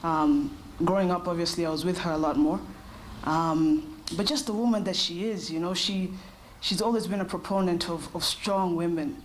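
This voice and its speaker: A woman in her thirties answers interview questions in a measured, reflective way. Her voice sits fairly low and her pace is unhurried. A faint noise hums behind her. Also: poor audio. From Kenya. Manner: thoughtful, measured, with short pauses. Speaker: interview guest.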